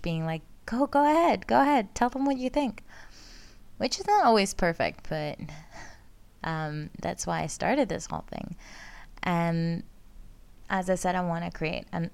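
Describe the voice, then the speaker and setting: A woman's voice: lowish voice, smooth. A young woman from Greece, hosting a casual solo podcast, rambling and correcting herself as she talks. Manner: rambling, self-correcting, laughs often. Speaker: podcast host, astrology student. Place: outdoors with sirens around.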